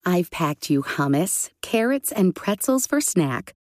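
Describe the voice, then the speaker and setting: A woman voicing a patient, caring mother in a storybook, explaining things in a calm, reassuring way. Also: on the low side, measured pace, no room sound, clean studio sound. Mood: cheerful, listing.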